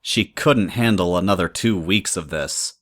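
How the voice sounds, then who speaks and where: Low-voiced male storybook narrator. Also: a little slow, a bit bright.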